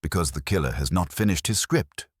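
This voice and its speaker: A man reading the part of a terse, brooding Victorian detective in an audiobook, grave and deliberate. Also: low voice, lively intonation, breathy edge, no echo, studio quality. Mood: grave, intent. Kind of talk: audiobook character dialogue.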